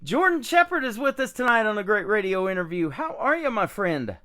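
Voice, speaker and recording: A man's voice: high-pitched, big pitch swings, a little fast, sharp tone. Upbeat, chatty male radio host. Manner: upbeat and chatty, with frequent "uh" fillers. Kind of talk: casual radio interview chat.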